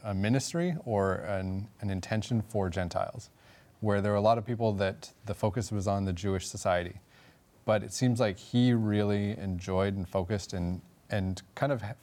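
A man's voice: lowish voice, darkish tone. A man from Texas, producer of the show, talking things through thoughtfully with some hesitation in a Bible study discussion. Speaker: producer and panelist.

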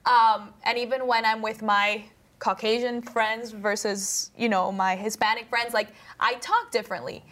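A woman's voice: on the high side, steady tone, normal speed, few pauses, a bit bright. A woman leading a conversational Bible study in an informal, questioning way. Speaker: discussion leader.

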